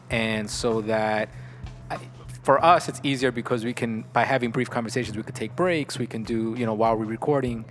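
Man, podcast host, casual, rambling, restarts sentences, mid pitch, soft background music. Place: podcast studio.

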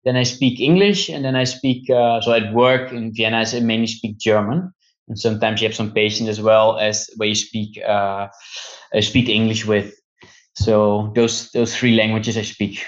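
A man's voice: fairly low pitch, little pitch movement, moderate pace. Dutch guy speaking english, hesitant, repeats words, kinda muffled call audio. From Netherlands. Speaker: physiotherapist guest. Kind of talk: conversational interview.

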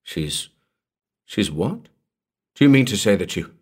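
A man voicing an irritable, alarmed carnival tough with a forceful, demanding delivery in a dramatic story. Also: lowish voice, sing-song, a little slow, husky, exaggerated voice. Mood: aghast. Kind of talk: dramatic audiobook character reading.

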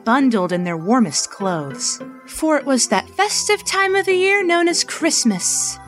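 A woman's voice: sits high, very animated, unhurried, fairly bright tone, faint music behind, exaggerated voice. A woman narrating a radio play in a scripted storybook style. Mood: storytelling. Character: storybook narrator of a holiday tale.

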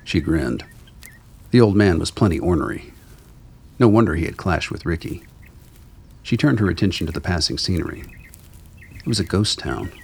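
Very deep male narrator. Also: animated, normal speed, vocal fry, long pauses, husky. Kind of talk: scripted dramatic narration.

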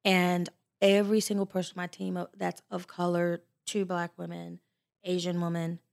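An American woman talking candidly in a casual panel discussion, blunt, opinionated and quick to swear. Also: fairly low pitch, completely flat delivery, vocal fry, darkish tone. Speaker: podcast panelist.

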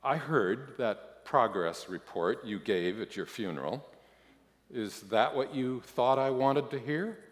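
A man voicing God as an amused, patient Lord who teaches in a deliberate, pointed way, in a reverberant hall. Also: medium pitch, expressive. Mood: amused, teasing. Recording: echoey hall, long reverb.

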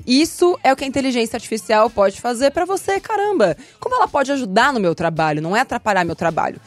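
A playful woman hosts a radio show in a slightly high voice. She speaks with animation. Faint music sits behind her. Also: very animated, fast, no room sound. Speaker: show host. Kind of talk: lively radio show hosting.

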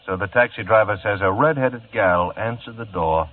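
An actor playing a brisk, skeptical male police lieutenant on an old radio drama broadcast. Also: lowish voice, phone-quality sound, close to an everyday voice. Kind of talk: 1950s radio drama acting.

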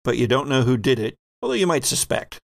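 A man's voice: medium pitch, very animated, fast talker, vocal fry, no room sound, clean studio sound. A man casually narrating a tabletop roleplaying game as its game master.

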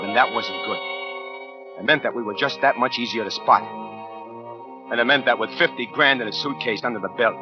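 Actor as a hard-boiled jittery crook, vintage radio. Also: male voice, mid-range voice, lightly acted. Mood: brooding. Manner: slangy, clipped, hard-boiled. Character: tough, jittery small-time killer on the run. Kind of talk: 1940s radio noir acting, first-person narration. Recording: dull vintage 1940s radio with music.

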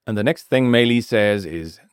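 A man presenting a scripted language lesson as teacher and host, speaking deliberately and repeating phrases for learners. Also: fairly low pitch, clean tone, no echo, clean studio sound.

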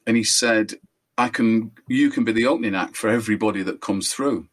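An older man, a musician being interviewed, telling rambling anecdotes in a relaxed way and correcting himself as he goes. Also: fairly quick. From England. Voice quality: high voice. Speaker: musician guest.

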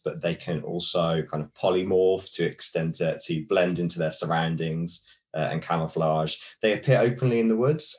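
A man co-hosting a podcast, chatting casually and explaining folklore with many fillers and restarts. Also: sits low. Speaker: podcast co-host.

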